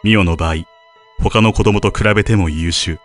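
A man acting as a grave researcher and father, narrating his private journal in an audio drama. His voice sits on the low side, solemn and measured, with music playing behind it. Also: close to an everyday voice. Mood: grave, uneasy. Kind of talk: dramatic narration of diary entries.

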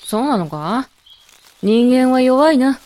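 A woman's voice: high voice, expressive, slow pace, warm tone. A woman playing a proud, blunt pregnant werewolf in a voice drama, with brusque, self-assured, masculine wording. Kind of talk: Japanese amateur voice drama acting.

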